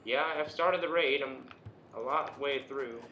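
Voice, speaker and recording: A man's voice: medium pitch, unhurried, bright, forceful tone, reverberant, highs cut off, slight background noise. A young man talking casually and bluntly to a friend while they play a video game, swearing playfully. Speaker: gamer in co-op let's play. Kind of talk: casual gaming chat with a friend.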